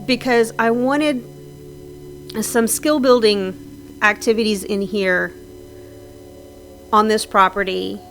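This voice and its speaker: A woman's voice: mid-range voice, fairly even intonation, slow, long pauses, fairly bright tone, soft background music. A woman with a slightly scratchy voice from sinus trouble, chatting casually and rambling with frequent ums and restarts over her game build. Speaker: Sims builder narrating a speed build.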